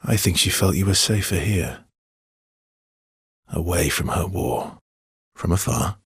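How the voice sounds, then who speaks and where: An actor playing a weary, guarded, sorrowful young man in a video game, speaking softly and haltingly with earnest feeling and a husky, breathy voice. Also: deep voice, dry recording, clean studio sound, heavily acted. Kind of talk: dramatic video game acting.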